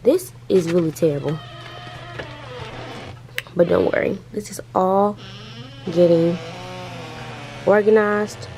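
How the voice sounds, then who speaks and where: A young woman vlogger with a raspy, hoarse voice as she loses it, chatting loosely to camera from her bedroom, full of "like" and "um". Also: lowish voice, takes it slowly, pauses often, mellow, rough recording. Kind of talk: casual chat to camera.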